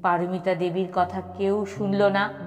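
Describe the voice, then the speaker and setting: A woman narrating a scripted audio story expressively, with dramatic pauses. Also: mid-range voice, flat delivery, a little mellow. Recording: long reverb with music behind. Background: background music.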